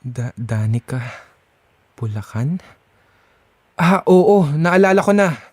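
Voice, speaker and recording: Animated, high-pitched exasperated young boyfriend. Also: male voice, slow pace, no echo, clean studio sound. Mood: alarmed, annoyed. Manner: whiny, complaining, animated. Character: exasperated young boyfriend Gael, expectant father, dodgy charmer. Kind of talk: Filipino audio drama voice acting.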